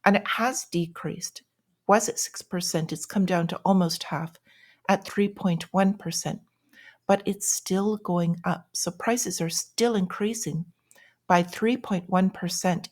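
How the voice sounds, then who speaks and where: A woman's voice: fairly low pitch, fairly even intonation, slow, a little mellow. A woman giving informal news commentary in a plain-spoken, explanatory and cautionary way, as the host of a financial vlog.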